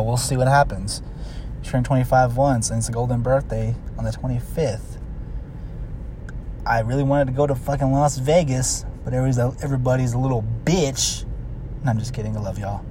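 A man's voice: mid-range voice, a little mellow. Young guy in his twenties, casual driving vlog, profane and rambling, road noise behind him. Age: 20s. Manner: profane, rambling, frequent fillers. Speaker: vlogger. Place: in a car driving.

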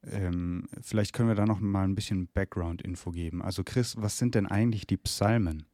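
A man's voice: deep voice, fairly even intonation, a little fast, dark tone, no echo, studio quality. A man with a cold co-hosting a casual theological podcast from a room in his parents' home, steadily guiding the talk. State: has a cold. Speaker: podcast co-host.

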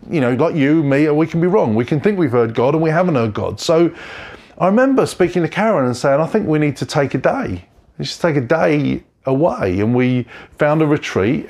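A pastor, telling his story in a reflective, halting way and often correcting himself. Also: fairly high pitch, darkish tone. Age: 40s. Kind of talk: personal testimony sermon.

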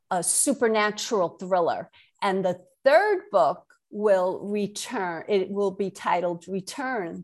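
A middle-aged woman with a Brooklyn accent, speaking conversationally as an author and guest in an interview. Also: medium pitch. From Brooklyn, New York.

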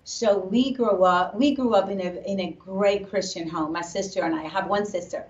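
A woman from Puerto Rico, a pastor's wife, talking earnestly about her faith as a guest in a casual interview. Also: mid-range voice. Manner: earnest, faith-filled, speaks slowly with restarts. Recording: echoey, distant, dull remote audio.

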